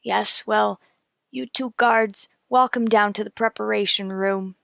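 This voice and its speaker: A woman's voice: mid-range voice, steady tone, unhurried, vocal fry, fairly bright tone, no echo. A woman playing a composed, formal figure of authority in an old-time radio drama. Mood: stern.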